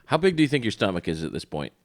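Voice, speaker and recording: A man co-hosting a podcast in casual, chatty talk, his voice slightly shot and hoarse. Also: fairly low pitch, very animated, rapid-fire. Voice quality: slightly worn, tired-sounding voice. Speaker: podcast co-host.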